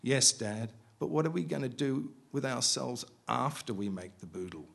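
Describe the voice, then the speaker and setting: A man's voice: medium pitch, normal speed, gravelly, a bit bright, husky. A man reading aloud, voicing a young boy who asks his father questions in a plain, mildly bored way. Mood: questioning. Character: weary young boy, dutiful son.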